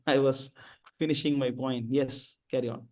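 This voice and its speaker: A man leading an online spiritual session, giving gentle, encouraging advice and repeating his key points. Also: medium pitch, fairly even intonation, a little slow. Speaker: devotee counselor leading the session. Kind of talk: casual spiritual advice on a call.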